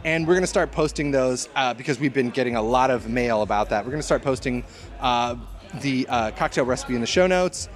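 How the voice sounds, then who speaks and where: A man's voice: mid-range voice, brisk, soft background music. A man co-hosting a podcast, chatting casually about movies in a jokey, mildly profane way. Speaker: podcast co-host.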